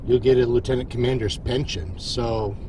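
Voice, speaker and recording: A man's voice: mid-range voice, steady tone, moderate pace, noisy background, lightly acted. A man playing a genial, wry starship captain in a science fiction audio drama. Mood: friendly, wry. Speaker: lead actor in audio drama.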